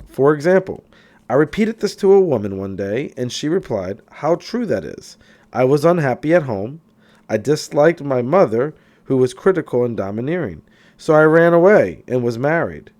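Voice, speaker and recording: Male audiobook reader for self-help title; lively, varied pitch, emphatic phrasing. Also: normal speed. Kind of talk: reading a self-help book aloud.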